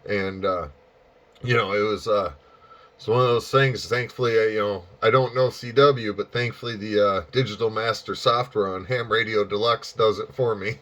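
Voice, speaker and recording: A man's voice: on the low side, fairly even intonation, normal speed, fairly bright tone, lo-fi sound. A man chatting casually and enthusiastically as an amateur radio operator, feeling his way through his phrases. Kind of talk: casual chat over ham radio.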